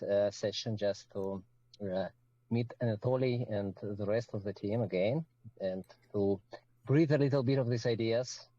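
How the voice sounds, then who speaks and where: A man from Russia introducing himself on a video call, halting and full of fillers. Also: lowish voice, dark tone, no echo, highs cut off. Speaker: meeting participant. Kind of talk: casual self-introduction.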